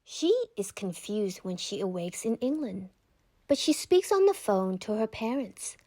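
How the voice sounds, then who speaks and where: Expressive woman reading a storybook aloud. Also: medium pitch, measured pace, dry recording. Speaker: children's book read-aloud narrator. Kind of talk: scripted storybook narration.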